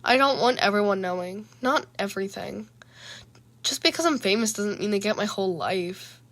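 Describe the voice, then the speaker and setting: Woman playing a guarded, softly blunt young man. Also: normal speed. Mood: soft, serious. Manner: soft, low-key, flat delivery.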